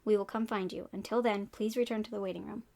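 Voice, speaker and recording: Woman acting fast-talking, firm hospital nurse. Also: mid-range voice, steady tone, vocal fry, a little mellow, close to an everyday voice. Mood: firm, official. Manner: brisk, firm, formal. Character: firm, official female intensive care nurse. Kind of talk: audio drama acting.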